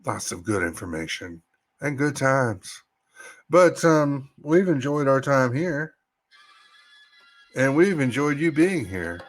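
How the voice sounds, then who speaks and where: A man's voice: medium pitch, sing-song, takes it slowly, long pauses. A man hosting a podcast in a casual, folksy way, reading aloud and chatting to listeners. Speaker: podcast host.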